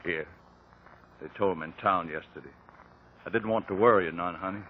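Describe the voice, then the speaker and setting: A man's voice: sits low, brisk, phone-quality sound, natural voice. A man playing a stubborn, protective young farmer husband with a rural American accent in old-time radio style. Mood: reassuring. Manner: folksy, firm country talk. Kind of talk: 1950s American radio drama acting. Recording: thin, faintly noisy 1950 radio recording.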